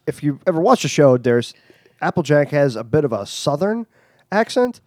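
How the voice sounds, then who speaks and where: A man's voice: fairly high pitch, big pitch swings, moderate pace, darkish tone, no room sound, studio quality. A man hosting a casual podcast, laughing often and teasing his guests. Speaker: podcast host.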